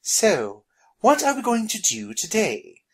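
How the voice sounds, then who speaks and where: A narrator voicing an eager, sharp-tongued lady in a storybook, haughty and emphatic. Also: mid-range voice, very animated, a little slow, slightly rough, voice pushed up, low-quality recording.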